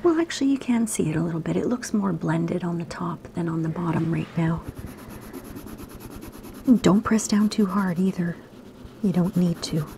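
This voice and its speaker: A woman, slightly hoarse today, gently talking kids through a drawing lesson in a soft, half-whispered voice. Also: lowish voice, normal speed, pauses often, a little hiss or hum. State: raspy, slightly sore throat. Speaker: art teacher for kids. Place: home room by a window.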